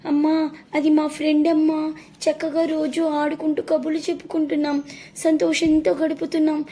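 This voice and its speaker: A woman's voice: sits high, completely flat delivery, moderate pace, warm tone, pitched above the performer's own voice, close to an everyday voice. A woman voicing an innocent little hawk chick in a children's story, with childlike pleading.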